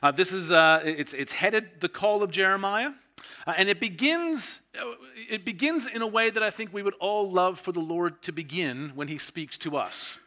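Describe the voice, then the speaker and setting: A man's voice: high voice, lively intonation, normal speed, bright, forceful tone. A middle-aged man with a Canadian accent, preaching a sermon as a guest pastor in a conversational, self-deprecating way.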